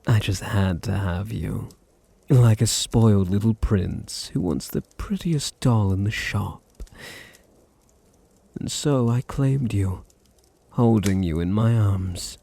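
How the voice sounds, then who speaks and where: A dominant aristocratic vampire played by a man speaks in a low British voice, unhurried and husky. He purrs with possessive condescension, teasing his listener softly in an intimate ASMR roleplay. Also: heavily acted. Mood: smug, possessive. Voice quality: deep, husky, gravelly.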